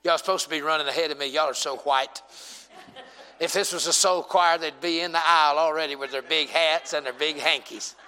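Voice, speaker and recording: A preacher in his forties who jokes with and teases his congregation, then rises into shouts. His voice is bright and slightly high. It carries with a long reverb through a church hall. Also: steady tone, brisk, clean tone. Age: 40s. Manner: humorous, teasing the congregation, builds to shouting. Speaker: pastor. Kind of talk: sermon. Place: church sanctuary.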